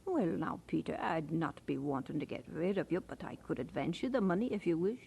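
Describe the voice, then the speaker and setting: An actress playing a brisk, blunt but caring middle-aged aunt in an old radio drama. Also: female voice, sits low, expressive, creaky, dark tone, a little hiss or hum. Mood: concerned, kindly. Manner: blunt, chiding, practical.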